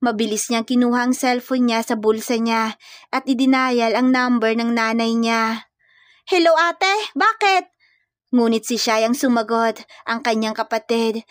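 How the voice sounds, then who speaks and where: A woman's voice: fairly high pitch, little pitch movement, normal speed, dry recording, studio quality. A woman narrating a scripted romantic comedy story.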